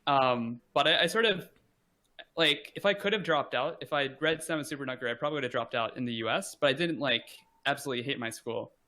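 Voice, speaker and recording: A man's voice: mid-range voice, fast, sharp tone. A young man talking casually as an interview guest, rambling with many fillers and restarts. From United States. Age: young adult.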